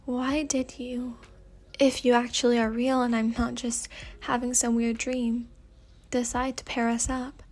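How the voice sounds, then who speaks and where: A woman's voice: on the high side, flat delivery, measured pace, darkish tone. A woman voicing a sceptical, sarcastic seventeen-year-old girl with a dry, muttering delivery in a story reading.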